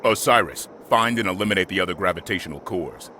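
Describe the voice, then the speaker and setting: An actor playing a focused military squad leader in a video game, speaking in terse, authoritative phrases. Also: male voice, low-pitched, animated, fast, heavy background noise. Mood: commanding. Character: commanding, focused male soldier squad leader. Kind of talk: scripted video game acting. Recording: loud music and battle sounds over voice.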